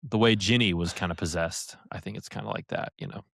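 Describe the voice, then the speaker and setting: A man's voice: deep voice, fast, creaky, a bit bright, dry recording, clean studio sound. A man co-hosting a casual fan podcast, laid-back and joking, with lots of "like". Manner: laid-back, fills with "like" and jokes. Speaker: podcast co-host.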